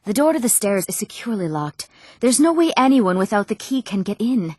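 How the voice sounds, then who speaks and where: A woman's voice: mid-range voice, very animated, brisk, warm tone, no room sound. Earnest young fantasy townswoman, dry recording. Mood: coaxing, matter-of-fact. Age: young adult. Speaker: video game voice actress. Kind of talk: scripted fantasy game dialogue.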